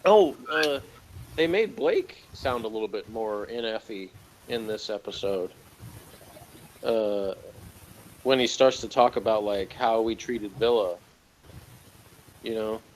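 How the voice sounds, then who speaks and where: A man chatting casually as a review co-host, speaking in a hesitant, halting way. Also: on the low side, slight background noise, low-quality recording. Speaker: podcast co-host reviewing audio dramas.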